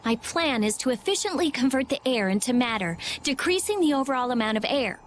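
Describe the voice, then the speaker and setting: An actress voicing a brainy, blunt teenage girl mage in a video game, with a brisk, matter-of-fact, snappy delivery. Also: high-pitched, animated, slight background noise. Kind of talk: animated game voice acting.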